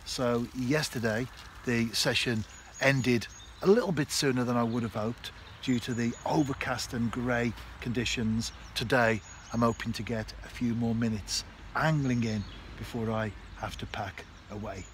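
A man in his fifties giving a casual instructional fishing vlog in a measured, explanatory way, in short phrases. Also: medium pitch, steady tone. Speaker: angler and vlogger. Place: outdoors on a canal bank. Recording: clear outdoor background noise.

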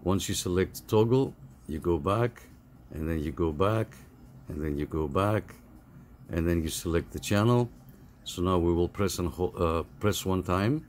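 A middle-aged man giving a casual, halting, step-by-step phone app tutorial over some background noise. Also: low voice, a little mellow. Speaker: tutorial host.

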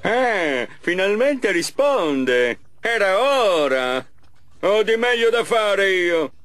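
A man voicing a vain mad-scientist villain in an animated game, theatrical, smug and mocking. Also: high voice, takes it slowly, exaggerated voice. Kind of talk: animated game cutscene dub.

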